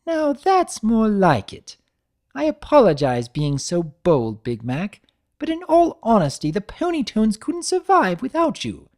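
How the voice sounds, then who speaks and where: A prim, dramatic female unicorn seamstress, as a woman reader puts her on. Her voice climbs high and swoops widely as she lays emphasis on every refined phrase. It is a storybook character voice with lively, sweeping inflection. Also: a little slow. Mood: gushing. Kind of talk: dramatic audiobook reading.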